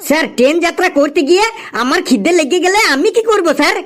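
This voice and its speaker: A man plays an eager cartoon schoolboy with a very high voice. He is cheeky and earnest, reciting like a pupil showing off an essay in class. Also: expressive, fast talker, a bit bright, slight background noise. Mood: cheeky, innocent. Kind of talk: comic cartoon dialogue.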